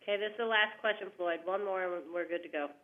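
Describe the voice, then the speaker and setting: A woman moderating a conference call and briskly moving it along over a phone. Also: lowish voice, fairly even intonation. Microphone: telephone. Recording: noisy, muffled conference phone line.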